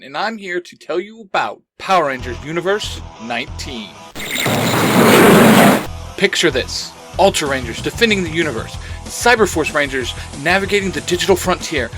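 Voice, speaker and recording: A man delivering an excited scripted trailer promo as an announcer. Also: fairly high pitch. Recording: promo over prominent music.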